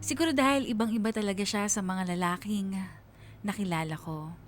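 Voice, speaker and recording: Casting: actress as young woman storyteller, mid-pitched, confiding and earnest, radio drama narration over soft music. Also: a little fast, lightly acted. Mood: reflective, fond. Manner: reflective, confiding. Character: earnest twenty-two-year-old student telling her love story.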